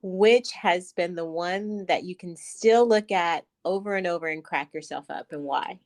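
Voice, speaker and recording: A woman's voice: on the low side, normal speed, darkish tone. Chatty agreeable woman co-host. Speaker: podcast co-host. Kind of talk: casual interview chat.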